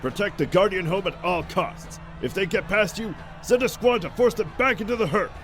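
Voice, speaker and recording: A man voicing a gruff, hot-tempered military commander barking orders in an audiobook. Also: high voice, normal speed, over music, pitched above the performer's own voice. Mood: commanding. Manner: shouting, commanding, grumbling. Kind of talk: audiobook character acting.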